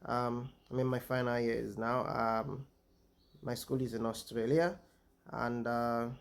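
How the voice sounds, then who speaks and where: A man from Nigeria, a PhD student, telling his own story in a hesitant way with many pauses and ums. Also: medium pitch, steady tone, vocal fry. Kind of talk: personal testimony.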